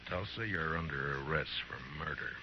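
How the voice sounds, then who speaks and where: An actor playing a stern, steady frontier marshal in an old radio western, terse and dryly sardonic. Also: male voice, extremely low pitch, moderate pace, noisy background. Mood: commanding. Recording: dull vintage radio recording, faint music.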